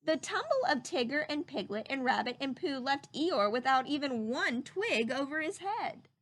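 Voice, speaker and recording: A woman reading a children's story aloud in an animated, emphatic, teacherly way. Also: high-pitched, normal speed. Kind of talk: expressive read-aloud narration with teacherly questions to listeners.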